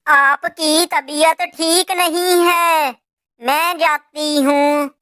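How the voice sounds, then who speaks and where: Woman as a high-pitched, caring mother crow. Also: steady tone, drawn-out words, no echo, clean studio sound, heavily acted. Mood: worried. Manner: soft-hearted, anxious, dutiful. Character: caring, worried mother crow, adult wife. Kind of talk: Hindi cartoon moral story dubbing.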